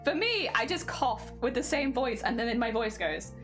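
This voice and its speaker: A woman's voice: fairly high pitch, expressive, moderate pace, soft background music. A young woman chatting casually on a craft stream while sewing, rambling with lots of fillers and a slight winter cough.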